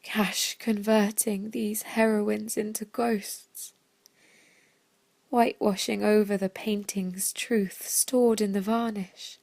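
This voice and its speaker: A woman reads a poetic monologue with theatrical deliberation. Her delivery is slow and broken into short phrases, with a strong creak in a medium-pitched voice. The sound is dry. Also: level tone, a little mellow, no echo. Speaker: reader of a theatre piece. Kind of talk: dramatic poetic monologue reading.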